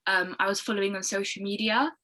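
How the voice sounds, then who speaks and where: A woman's voice: medium pitch, fairly even intonation, fast, sharp tone. A fifteen-year-old girl with a London British accent, chatting enthusiastically as a podcast guest. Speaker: podcast guest, young motivational speaker. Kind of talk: casual interview chat.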